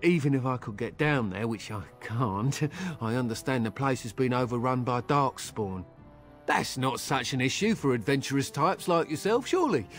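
An actor playing a luckless, weary traveling merchant in a video game, polite and flowery but hesitant and coaxing. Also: male voice, mid-range voice, expressive, normal speed, soft background music, lightly acted. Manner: hesitant, wheedling, flowery courtesy. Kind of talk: video game dialogue acting.

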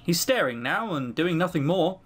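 Animated let's player reading a game aloud. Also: medium pitch, normal speed. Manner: theatrical, exclaiming, teasing asides. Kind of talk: game narration with casual commentary.

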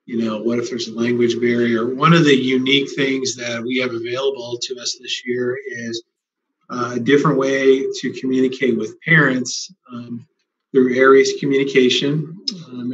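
Mid-pitched man on a muffled video call. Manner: conversational, "uh" fillers. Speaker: school district administrator. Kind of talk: answering staff questions in a town hall. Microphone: video call webcam. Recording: dull lo-fi video call audio.

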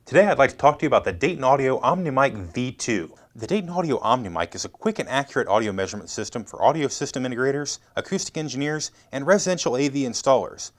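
A man's voice: mid-range voice, animated, fast, few pauses. An American man in his thirties presenting a scripted product demonstration.

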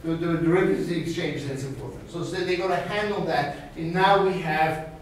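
A middle-aged man lectures on finance, his voice a little raised in pitch and lively with gestures. He is picked up by a distant camera in a small, very echoey classroom. A faint hiss sits under the sound. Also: moderate pace. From Austria. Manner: animated, gestures widely, peppers talk with "okay?". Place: small classroom with screen and whiteboard. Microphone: far camera.

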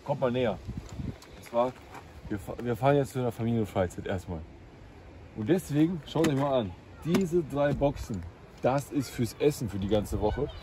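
Man in his thirties, chatty family vlogger, lively pitch, road noise behind. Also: moderate pace. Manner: chatty, tag questions "ne?". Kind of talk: casual family travel vlog. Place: inside a camper van and outdoors by it.

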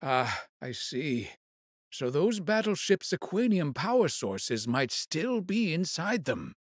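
A man's voice: a little high, very animated, slow pace, no room sound, clean studio sound, heavily acted. A man playing a small, genial old demon thief in a video game, with a courteous, folksy manner. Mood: thoughtful. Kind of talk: video game cutscene dubbing.

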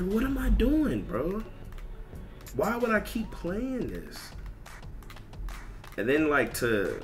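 A man chatting casually on a livestream as a video game streamer, ranting in profane, repetitive slang. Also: medium pitch. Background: music.

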